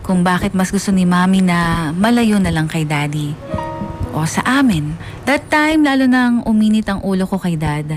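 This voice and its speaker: A woman's voice: fairly low pitch, lively intonation, normal speed, faint music behind, close to an everyday voice. A woman playing a hurt, resentful youngster narrating a confessional radio drama, with a Filipino accent. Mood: hurt, bitter. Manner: plaintive, reflective. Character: hurt, resentful child telling of parents' separation.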